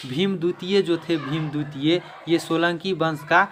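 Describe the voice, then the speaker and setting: A man's voice: a little high, measured pace. A man giving a quiz lesson as an exam-prep teacher, restating key points with emphasis. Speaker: exam-prep teacher and video channel host. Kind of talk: quiz lesson, explaining question and answer. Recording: clear background noise.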